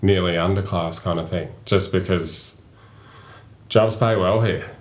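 An Australian man chats easily, spinning anecdotes in relaxed conversation. His voice is very deep, and the room around him rings with echo. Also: unhurried. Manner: chatty, storytelling. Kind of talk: casual chat. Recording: roomy echo.

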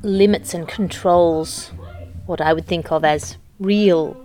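A woman's voice: fairly low pitch, steady tone, takes it slowly, mellow. A woman comedian chatting backstage, talking things through in a thoughtful, analytical way. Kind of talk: casual backstage chat. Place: backstage at a venue.

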